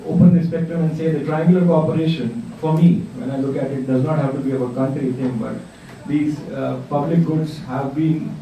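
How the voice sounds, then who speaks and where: Man, dark mellow voice, hesitant with fillers, distant mic, very echoey room. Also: fairly high pitch, steady tone, fairly quick, hardly any pauses, slight background noise. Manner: hesitant, many fillers and restarts. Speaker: workshop participant. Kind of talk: spoken contribution in a group discussion.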